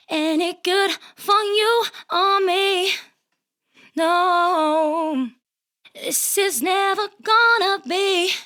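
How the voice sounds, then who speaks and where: A woman sings a pop vocal in a very high register. The phrases are drawn out extremely slowly, with faint music present. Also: pushed and bright. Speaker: singer. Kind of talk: sung vocal take, repeated pop phrase.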